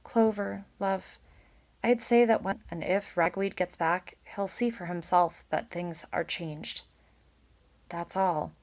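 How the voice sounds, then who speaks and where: A woman voicing a steady, reassuring father mouse in a storybook, plain-spoken and firm. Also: on the low side, slow pace.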